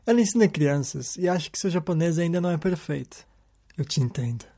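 Mellow-voiced male podcast narrator. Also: a little high, lively intonation, brisk. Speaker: podcast host. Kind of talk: scripted narration. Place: lives in Japan.

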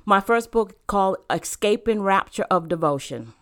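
A woman's voice: medium pitch, animated, moderate pace. A woman who grew up in Rhode Island, speaking earnestly about her faith as a guest and author in a casual interview. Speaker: guest, church member and author.

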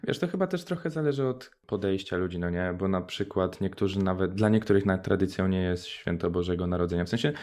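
A man's voice: low-pitched, fast, few pauses, darkish tone. A young man co-hosting a radio show, chatting in an informal, conversational way. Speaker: radio co-host. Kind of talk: casual radio chat.

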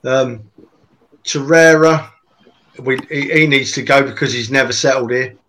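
A man, talking football in a lively, emphatic casual chat and repeating lines for effect. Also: medium pitch, unhurried, low-quality recording. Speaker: podcast co-host.

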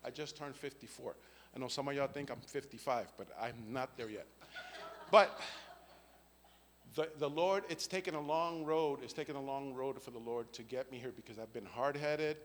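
A man's voice: medium pitch, steady tone, moderate pace, in a large hall, slight background noise. A man, giving an earnest spoken faith testimony and often checking in with "right?" and "okay?". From the Bronx, New York. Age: 50s.

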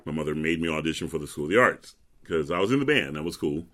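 Deep resonant bass-voiced man. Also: expressive, fast, fairly bright tone. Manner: chatty storyteller, laughs easily. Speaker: opera bass, guest. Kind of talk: relaxed interview chat.

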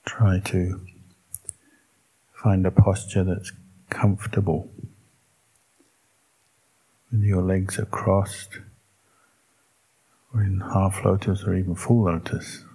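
Deep, husky man guiding meditation slowly. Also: low-quality recording. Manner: calm, measured, with long pauses between short phrases. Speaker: Buddhist monk teaching meditation. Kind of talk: guided meditation instruction.

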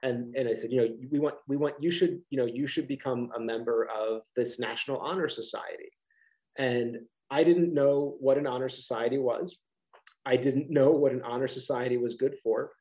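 A man's voice: mid-range voice, steady tone, normal speed, mellow. A middle-aged man with a Philadelphia accent, a college professor answering interview questions casually on a video call, with many restarts and fillers.

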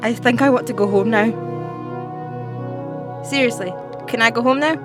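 A young woman playing a dry, wary teenage girl who is new at school, deadpan, in a comic audio drama. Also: a little high, moderate pace, music in the background, lightly acted. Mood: uneasy, polite. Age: young adult. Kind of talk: light comedic audio drama dialogue.

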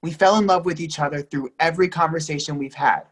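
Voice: mid-range voice, fast talker, slightly rough, natural voice. An actor playing a lovestruck, pleading young partner, hurt and insistent, in an emphatic readers theatre reading. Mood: urgent pleading. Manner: emphatic, imploring.

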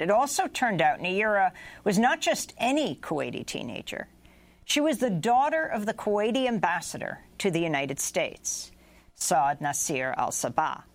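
A woman news anchor reading a scripted report in a measured, formal way. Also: medium pitch, dry recording.

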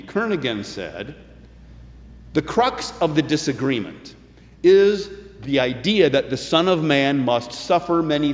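A man preaching a sermon as a pastor, phrasing his points deliberately and emphatically with some colloquial turns. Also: medium pitch. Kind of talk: sermon with scripture commentary. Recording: echoey hall, long reverb, dull sound.

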